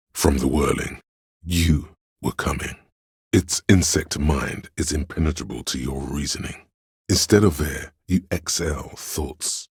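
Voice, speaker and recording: A man playing a cerebral, pretentious inner voice of artistic imagination in a game, narrating theatrically and deliberately in a gravelly, husky voice. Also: extremely low pitch, dry recording, studio quality, exaggerated voice. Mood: hushed wonder. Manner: pompous, theatrical, deliberate diction. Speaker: game voice actor. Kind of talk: dramatic scripted game narration.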